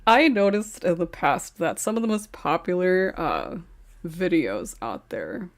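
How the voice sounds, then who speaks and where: Woman, lowish voice, giggly, casual podcast host. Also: sing-song, measured pace. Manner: giggly, often laughs while speaking.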